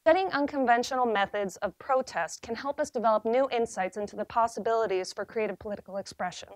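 A young woman gives a short graduate thesis talk to a seated audience, her voice fairly high and recorded dry and close. She speaks in a measured, earnest way, pausing for weight. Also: no echo. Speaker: graduate student presenter. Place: library seminar room with audience.

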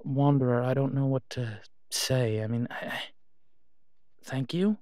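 A man's voice: medium pitch, steady tone, measured pace, a little mellow, no room sound, natural voice. Man playing a reluctant werewolf alpha, dry close sound. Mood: awkward, wary. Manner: hesitant, casual, understated. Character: reluctant, awkward male werewolf pack alpha. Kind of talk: naturalistic audio roleplay acting.

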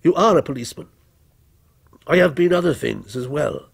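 A man's voice: a little high, expressive, normal speed, creaky, husky, exaggerated voice. A man voicing a vengeful, menacing criminal posing as a policeman, taunting and bitter in a dramatic story. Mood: cold menace. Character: menacing, vengeful male fictional criminal come to life as a policeman.

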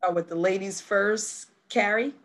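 A woman in her thirties hosting a virtual wine tasting over a video call, chatty and quick to laugh. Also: medium pitch, a bit bright. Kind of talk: casual video call chat. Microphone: laptop video call.

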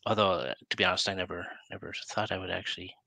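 A man's voice: sits low, fast talker, creaky, pushed and bright, no room sound. A middle-aged man hosting a casual live-stream interview in a chatty, hesitant way, speaking on a headset mic. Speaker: talk show host. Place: plain room, indoors.

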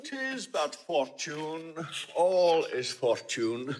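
A man's voice: fairly high pitch, sing-song, very slow, slight background noise, heavily acted. A man playing a pompous, self-besotted household steward in a classical Shakespeare audio drama, lingering theatrically over his words. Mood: dreamy, self-satisfied.

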